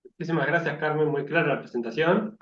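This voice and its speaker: A man with a Rioplatense Spanish accent moderates an online session and courteously reads out the speakers' introductions. His voice is somewhat high for a man. The audio is echoey and a little dull. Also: fairly quick, fairly bright tone.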